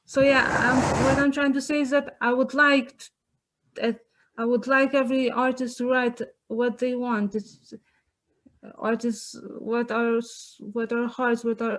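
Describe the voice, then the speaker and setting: High, flat-toned young Kosovan woman. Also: a little slow. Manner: earnest, searching for words. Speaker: playwright on a panel. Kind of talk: unscripted panel answer. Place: online webinar.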